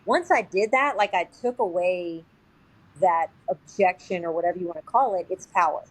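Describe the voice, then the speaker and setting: A woman's voice: on the low side, normal speed, rough recording. Informal woman as podcast guest. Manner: informal, heavy use of "like", acts out short quotes. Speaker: sales agent, podcast guest. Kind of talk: casual podcast interview.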